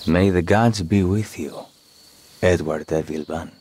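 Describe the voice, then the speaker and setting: An actor playing a serene, enigmatic author who serves as a guide in a video game, speaking in a measured, formal, philosophical way. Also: male voice, sits low, a little mellow, breathy edge. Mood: grave, helpful. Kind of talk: cinematic game dialogue.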